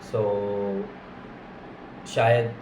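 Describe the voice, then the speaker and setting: A man with an Indian English accent and Hindi as his first language, practicing English phrases in a halting, casual vlog full of fillers and self-corrections. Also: on the low side, flat delivery, echoey room, background noise.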